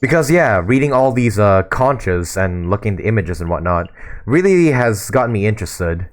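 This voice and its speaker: Hoarse young man, casual game talk. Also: on the low side, animated, moderate pace. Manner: loose, with frequent fillers and repeats. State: hoarse from a sore throat. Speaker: gaming YouTuber. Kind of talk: casual gameplay commentary.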